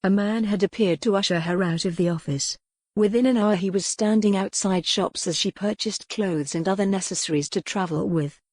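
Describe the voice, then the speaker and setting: A woman's voice: mid-range voice, normal speed, darkish tone, no echo, studio quality. A woman narrating a historical romance audiobook in a scripted storytelling style.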